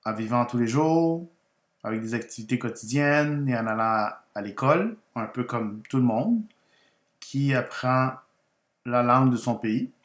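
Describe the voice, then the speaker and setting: A man's voice: medium pitch, lively intonation, measured pace. Guy from guatemala, spanish is his first language, teacher telling his story phrase by phrase, mid voice, roomy echo. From Guatemala, moved to Quebec, Canada aged ten. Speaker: language teacher and channel host. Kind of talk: personal story told as a slow educational narration. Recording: roomy, echoing voice recording.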